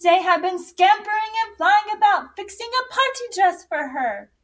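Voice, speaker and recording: High, animated woman reading a storybook. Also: normal speed, far from the mic. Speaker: teacher reading a story aloud. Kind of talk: expressive storybook read-aloud.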